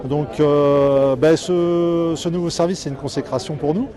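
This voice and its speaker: Halting man interviewed amid crowd chatter. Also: on the high side, steady tone. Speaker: police service chief. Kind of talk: interview answer.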